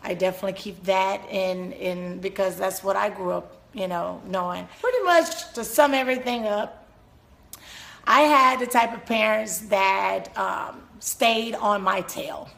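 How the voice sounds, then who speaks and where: Chatty woman with a gravelly voice. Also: mid-range voice, unhurried, fairly bright tone. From Texas, San Antonio. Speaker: singer and hairdresser. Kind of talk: casual personal storytelling.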